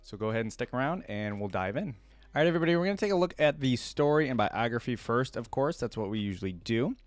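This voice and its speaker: A man with a mid-pitched voice speaks as the host of a reaction channel. His delivery is that of casual commentary mixed with read-aloud passages. The sound is dry, with very little room around it. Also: expressive, fast talker, dry recording.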